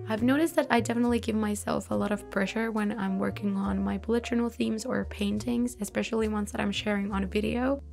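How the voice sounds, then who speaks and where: A woman narrating a voiceover about her journaling, chatty and self-reflective, her voice going slightly hoarse. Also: medium pitch. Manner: relaxed, chatty, self-reflective, light laughs. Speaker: bullet journal creator. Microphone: voice-over recorded separately. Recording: soft background music.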